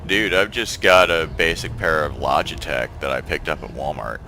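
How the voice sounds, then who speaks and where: A man's voice: a bass voice, normal speed, noisy background. A man streaming a space game, chatting casually and thinking aloud as he plays. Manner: relaxed, thinks aloud and trails off. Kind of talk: casual gameplay chat.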